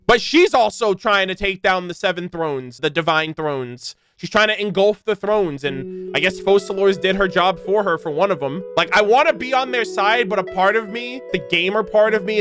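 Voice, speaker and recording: A man giving casual, conversational and emphatic commentary as a game streamer. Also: sits high. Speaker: streamer reacting to video game lore. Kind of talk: casual commentary, with some reading aloud. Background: background music.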